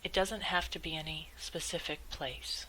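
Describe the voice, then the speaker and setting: A woman leading a guided meditation in a measured, gently instructive way, as calm spoken instruction. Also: sits low, a little hiss or hum, rough recording.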